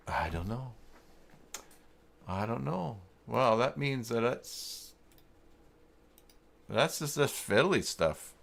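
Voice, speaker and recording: A man hosting a casual live tech tutorial, thinking aloud in a halting way and muttering to himself as he works. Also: medium pitch, animated, gravelly, fairly bright tone. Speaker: live stream host giving web tutorials.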